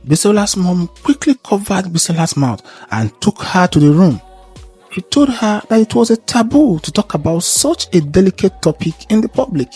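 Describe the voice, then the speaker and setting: A man narrates a folktale in a fairly high voice that rises and falls with lots of animation. His delivery is measured and formal, with a husky, breathy edge, over background music. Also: very animated, a little mellow. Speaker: storyteller.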